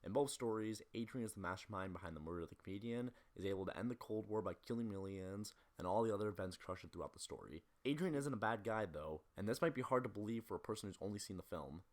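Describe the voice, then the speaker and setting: A man's voice: on the low side, expressive, fast, few pauses. A man narrating a scripted analytical video essay as the presenter.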